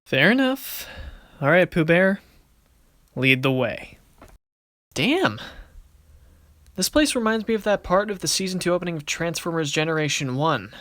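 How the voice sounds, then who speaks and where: A man's voice: fairly high pitch, expressive, unhurried, long pauses, a bit bright, no echo. A man voicing a confident, wisecracking young card duelist in an anime fan production, casual and theatrical. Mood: playful. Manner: casual, wry, theatrical when announcing moves.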